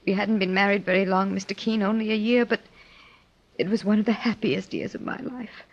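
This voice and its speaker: An actress playing an earnest, grieving young wife in old-time radio drama style, speaking haltingly with emotion. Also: female voice, medium pitch, fairly even intonation, lightly acted. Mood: confiding. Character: grieving young wife of a wealthy older man. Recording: dull, lo-fi old radio recording.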